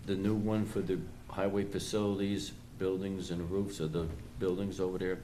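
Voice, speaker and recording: A man explaining a financial report item by item at a public meeting, in a halting way, as a town finance official. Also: deep voice, flat delivery, warm tone. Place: town board meeting room. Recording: noisy public meeting room recording.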